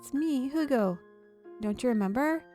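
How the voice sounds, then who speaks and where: A woman reads out a gentle, courteous gentleman from a fantasy romance game. The delivery is polite and theatrical, in a mellow voice. Faint music plays behind. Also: high-pitched, expressive, normal speed, pitched above the performer's own voice.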